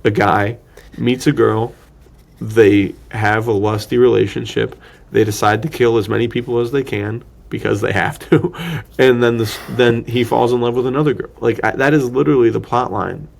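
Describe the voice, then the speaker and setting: A man's voice: mid-range voice, steady tone, normal speed, gravelly. A man co-hosting a podcast, discussing film casually and thinking aloud in a rambling, slangy way. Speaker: podcast co-host.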